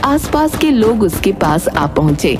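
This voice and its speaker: A woman's voice: medium pitch, big pitch swings, measured pace. A woman narrating a scripted horror cartoon story over music.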